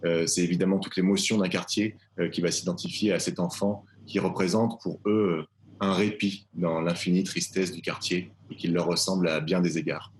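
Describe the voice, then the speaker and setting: A man presenting his stage adaptation live, speaking in measured, literary phrasing with frequent fillers. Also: sits low, fairly even intonation, slight background noise. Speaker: theatre director presenting his play. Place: live video call.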